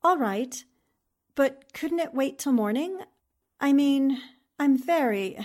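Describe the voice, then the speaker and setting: A woman acting a brisk, eager newspaper reporter in a 1940s-style radio drama. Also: high-pitched, animated, a little mellow. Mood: groggy, puzzled.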